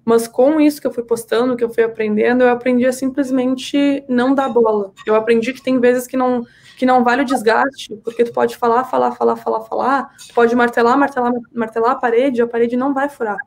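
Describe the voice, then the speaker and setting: Fast-talking southern Brazilian woman, higher voice. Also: steady tone. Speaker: podcast guest. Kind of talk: casual, animated personal storytelling in conversation.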